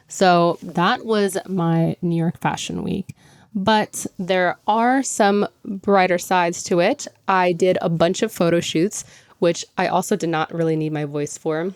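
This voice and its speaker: A young woman hosts her podcast in a chatty, gushing way, leaning on "like" as she goes. Her voice sits a little low and sounds smooth and clear. It is recorded dry and close on a podcast mic. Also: dry recording. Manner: chatty and gushing, heavy use of "like". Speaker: podcast host. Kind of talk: casual solo podcast chat.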